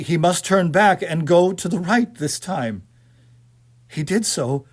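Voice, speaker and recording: A man narrating a suspense story in a dramatic, theatrical manner with hesitant phrasing. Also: on the high side. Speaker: audiobook narrator.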